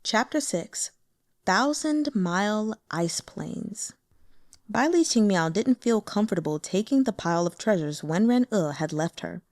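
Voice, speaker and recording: A woman reading a translated fantasy novel aloud as an audiobook narrator, in an expressive, measured storytelling manner. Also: mid-range voice, no room sound, studio quality. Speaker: audiobook reader.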